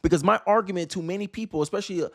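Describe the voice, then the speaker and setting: A man's voice: high-pitched, rapid-fire, a bit bright. An American man giving casual, opinionated political commentary in an exasperated, emphatic way, firing off rhetorical questions.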